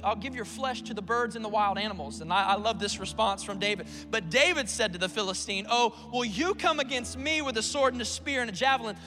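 A man in his late twenties, a pastor preaching a sermon from the pulpit in a church, energetic and playful with call-and-response to the congregation. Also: very high voice, long reverb tail. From South Carolina. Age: 20s. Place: church sanctuary.

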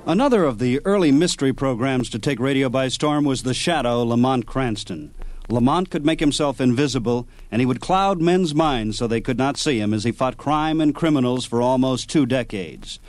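A man presents as a radio host. His mid-pitched voice delivers scripted narration with light asides. The sound is dry and close, without room echo. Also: animated, normal speed, a little mellow.

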